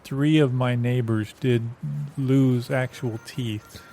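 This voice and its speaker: A man playing a polite, worried customer who speaks haltingly and tentatively in an improvised comedy sketch. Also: mid-range voice, steady tone, warm tone, faint music behind, close to an everyday voice.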